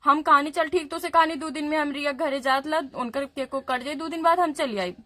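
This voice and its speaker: A woman's voice: high-pitched, brisk, pushed and bright. A young woman with a Bhojpuri accent, a YouTuber talking in an insistent, complaining way. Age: young adult. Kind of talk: phone argument and talk to viewers.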